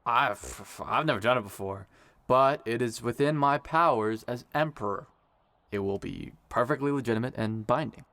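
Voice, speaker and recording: A man playing a dignified, sincere young emperor in an audio drama, speaking in a measured, formal way. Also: mid-range voice, fairly bright tone, no echo, close to an everyday voice. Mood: reassuring. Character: warm, dignified young emperor officiating a wedding.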